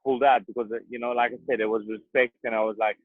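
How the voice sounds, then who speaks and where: Husky man on a tinny line. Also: mid-range voice, little pitch movement, rapid-fire, no echo. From South Africa. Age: 30s. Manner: earnest, emphatic, repeats himself when moved. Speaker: boxer guest. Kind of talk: interview conversation. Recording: tinny phone-like dry sound.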